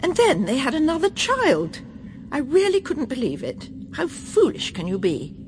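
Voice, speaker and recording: Haughty middle-class English mother, clipped, judgmental, very animated pitch, radio drama, faint background noise. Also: moderate pace. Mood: haughty, disapproving. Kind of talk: BBC radio drama acting.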